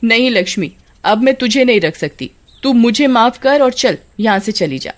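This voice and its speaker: A woman's voice: on the high side, expressive, moderate pace, natural voice. A woman voicing a strict, irritable mother who scolds sternly in a horror story.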